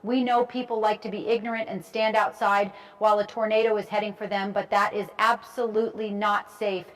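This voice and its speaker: Female meteorologist played by a woman for a fictional live tornado broadcast; mid-range voice, clear instructions and explanation. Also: medium pitch, flat delivery, a little fast, close to an everyday voice, low-quality recording. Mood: urgent warning. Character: female TV meteorologist giving urgent tornado coverage. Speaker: weather broadcaster. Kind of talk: live weather commentary.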